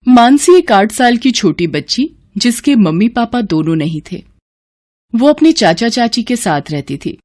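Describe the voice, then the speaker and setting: A woman narrating a scripted moral story in an expressive, emotive, dramatic way. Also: a little high, unhurried.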